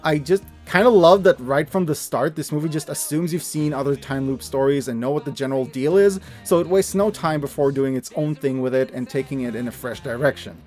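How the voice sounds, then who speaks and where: Higher-pitched man, enthusiastic casual reviewer, music behind. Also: a little fast, hardly any pauses, a little mellow. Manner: casual, emphatic, mildly sweary. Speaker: host of a review video. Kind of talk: scripted, enthusiastic recommendation narration.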